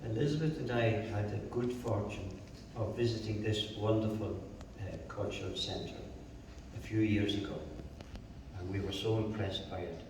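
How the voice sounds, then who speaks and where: A man's voice: fairly low pitch, noisy background. A man with an Irish accent giving a jovial public talk as a co-author at a library event. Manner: slow, jovial, chuckles at his own jokes. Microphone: distant room mic. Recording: echoey hall recorded from far off.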